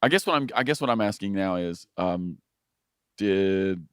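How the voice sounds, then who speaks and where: Low-voiced male podcast host, dry sound. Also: animated, normal speed, clear, resonant tone, no echo. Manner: warm affirming listener, short "yeah" replies. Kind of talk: casual podcast interview.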